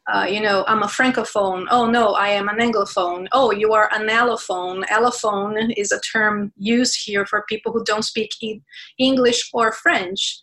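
A woman's voice: medium pitch, fairly even intonation, normal speed, fairly bright tone. A woman guest speaking passionately in a conversational interview, often restarting her phrases, with Portuguese as one of her first languages. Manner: passionate, many restarts and fillers.

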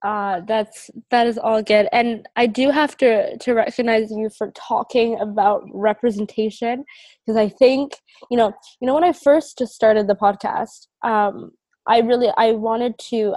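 A woman's voice: on the high side, darkish tone, dry recording. A woman podcast host interviewing a guest, often affirming her with short interjections. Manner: frequent affirming "mm-hmm" and "yeah". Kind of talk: casual interview conversation.

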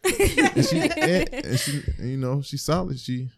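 A young man co-hosting a casual chat, talking loudly and profanely with lots of repeats and hype. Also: a little high, a little slow, creaky, over a noisy crowd. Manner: loud, profane, animated storytelling. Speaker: podcast co-host.

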